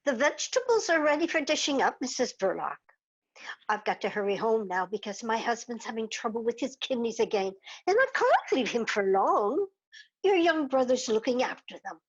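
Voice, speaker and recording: A woman's voice: a little high, animated, moderate pace, slightly rough. A woman in a live radio play acting the part of a fussy, harried household cook. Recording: muffled, dull streamed audio.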